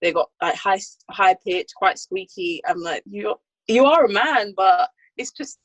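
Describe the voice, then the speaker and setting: A woman's voice: medium pitch, lively intonation, a little slow, no room sound, lo-fi sound. A woman co-hosting a podcast, chatting casually in a hesitant, thoughtful way with many fillers. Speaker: podcast co-host. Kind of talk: casual chat.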